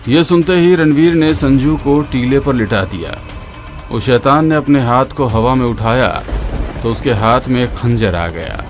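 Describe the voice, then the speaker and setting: A man narrating a horror story in a steady, dramatic way, dropping lower at the grim moments. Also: a little slow. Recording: narration over loud background music.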